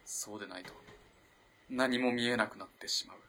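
An actor playing an earnest, lovestruck young student in an early twentieth-century Japanese radio play, halting and moving between hushed and impassioned delivery. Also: male voice, mid-range voice, slight background noise, lightly acted. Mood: hushed, tender. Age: young adult. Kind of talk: dramatic radio play acting.

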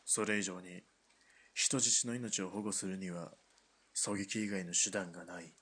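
Man acting a scheming middle-aged army colonel, low husky voice, condescending, radio drama. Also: steady tone, a bit bright, heavily acted. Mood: measured, self-justifying. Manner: measured, condescending officer's speech. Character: smooth-talking, calculating middle-aged army lieutenant colonel.

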